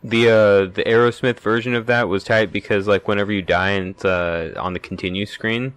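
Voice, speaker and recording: A man's voice: lowish voice, fairly even intonation, brisk, a bit bright, clean tone. A man co-hosting a podcast, chatting casually about games in laid-back slang. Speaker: podcast co-host.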